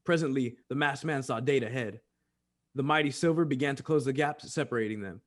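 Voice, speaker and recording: A man's voice: mid-range voice, fairly quick, lightly acted. A man narrating an old-time western radio drama in a dramatic, scripted storytelling style.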